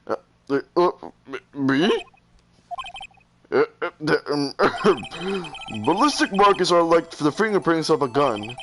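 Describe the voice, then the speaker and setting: A man's voice: on the high side, animated, slow, music in the background. A man voicing a flustered, easily bullied courtroom judge in a video game, stammering and hesitant. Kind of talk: video game dialogue voice-over.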